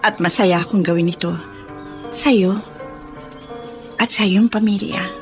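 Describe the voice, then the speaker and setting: Woman playing proud, wounded rich Filipina madam for radio drama; middle-pitched, emphatic, music underneath. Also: a little slow, close to an everyday voice. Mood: remorseful, grateful. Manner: emphatic, melodramatic delivery. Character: wealthy matronly woman, wronged, fierce yet deeply remorseful.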